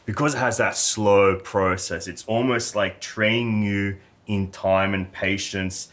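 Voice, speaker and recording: Aussie guy hosting a film chat, lowish voice, earnest, says like and yeah a lot. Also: steady tone, a little slow, fairly bright tone. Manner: earnest and reflective, lots of "like" and "yeah". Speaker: host. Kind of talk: casual chat.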